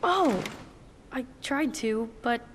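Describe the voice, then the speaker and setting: A shy young boy prince speaks slowly and hesitantly. The voice is fairly high and rings in a long reverb, with faint noise. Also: expressive. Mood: flustered. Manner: hesitant, halting. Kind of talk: video game cutscene acting.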